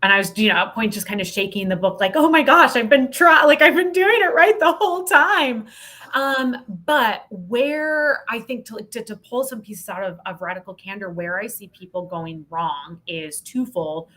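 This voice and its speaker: A woman speaking candidly and emphatically as an interview guest, in an expressive conversational way. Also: fairly high pitch, moderate pace. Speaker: podcast guest, sales leadership expert.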